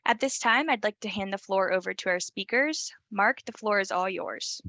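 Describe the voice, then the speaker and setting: Female webinar host: bright, forceful tone, dry recording, brisk scripted reading. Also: medium pitch, dry recording. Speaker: webinar moderator. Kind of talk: reading housekeeping announcements.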